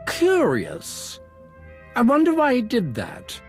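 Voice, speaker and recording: A man playing an eager, fussy, bookish archaeologist in a video game, speaking precisely and excitably. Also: very high-pitched, slow, full character voice. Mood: curious, eager. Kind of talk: scripted game voice acting. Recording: music behind the voice.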